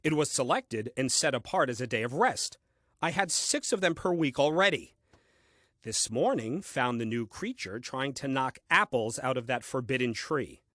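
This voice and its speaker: An actor playing a grumbling, solitary first man reading out his diary in a comic audio play, with a dry, deliberate manner. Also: mid-range voice, expressive, a bit bright, no room sound. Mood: grumbling, skeptical.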